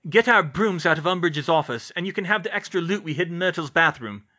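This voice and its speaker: A man's voice: fairly high pitch, animated, fairly quick, very noisy, pitched above the performer's own voice, close to an everyday voice. A man voicing loyal, mischievous teenage wizard twin brothers in a story, in a casual, earnest way. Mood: bold. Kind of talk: audiobook character voice.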